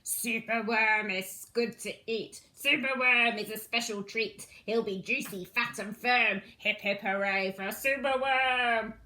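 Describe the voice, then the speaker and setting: A woman's voice: on the high side, steady tone, a little slow, hardly any pauses, bright, forceful tone, pitched below the performer's own voice. A woman voicing a grim, menacing crow in a children's storybook, gloating in a chant.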